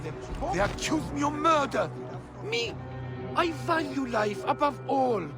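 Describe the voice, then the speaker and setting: An excitable, scrappy little informant in a video game. His voice is extremely high and gravelly, swinging widely with theatrical, pleading melodrama. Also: a little slow, over loud music, heavily acted. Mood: panicked, indignant. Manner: melodramatic, indignant.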